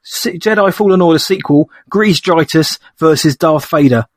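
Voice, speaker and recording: A man's voice: fairly high pitch, moderate pace, no room sound. A man with an English accent, a podcast co-host speaking in playful, teasing banter. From England. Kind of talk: casual podcast conversation.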